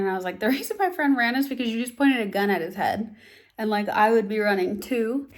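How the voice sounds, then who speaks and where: A young American woman telling a tense personal story in a casual vlog, animated and often dropping to a hushed near-whisper. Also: mid-range voice. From United States. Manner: animated storytelling, acts out both sides of a dialogue.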